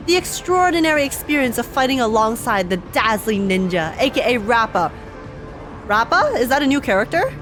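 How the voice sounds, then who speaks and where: A young woman streaming a game, reacting and reading aloud in a playful, expressive way. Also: on the high side. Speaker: gaming streamer. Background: game music.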